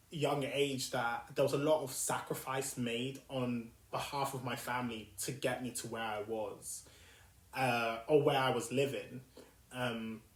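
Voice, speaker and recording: A young man with a South London accent speaks at a medium pitch, thinking aloud as he hosts his own podcast. He pauses often, restarts his sentences and searches for words. The room around him sounds echoey. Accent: South London English. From South London, England. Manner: thoughtful, with frequent fillers and restarts. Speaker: podcast host. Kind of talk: reflective solo podcast monologue.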